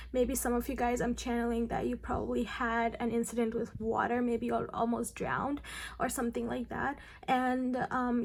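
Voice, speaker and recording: Hoarse raspy woman reading tarot. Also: on the high side. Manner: chatty, addresses viewers directly with frequent ums. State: hoarse from losing her voice. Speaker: tarot card reader. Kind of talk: casual pick-a-card tarot reading.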